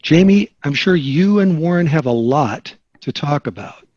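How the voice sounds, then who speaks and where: A man playing a practical, coaxing suburban sitcom father at a table read, husky and animated, over a dull online-call sound. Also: on the high side, measured pace, no room sound. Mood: friendly, reassuring.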